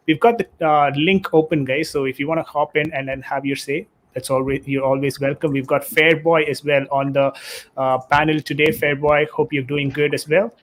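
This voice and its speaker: A man hosts a casual live football stream, talking straight to his viewers. His voice sits a little high for a man, and he reads out chat messages with plenty of ums and uhs. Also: a bit bright. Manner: reads chat messages aloud, hesitant with fillers.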